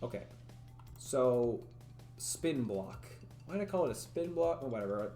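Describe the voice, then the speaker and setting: A man streaming live, thinking aloud as he codes and chats with viewers, his voice a little raspy from talking for hours. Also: mid-range voice, animated, unhurried, mellow. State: voice getting raspy from long talking. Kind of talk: casual livestream coding commentary. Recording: roomy echo, faint music.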